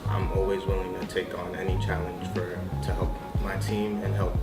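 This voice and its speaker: A man's voice: sits low, little pitch movement, normal speed. A young man from Massachusetts, an entrepreneur, speaking earnestly and reflectively in a profile interview with hesitant restarts. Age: young adult. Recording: echoey room, distant mic, loud music bed. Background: loud background music.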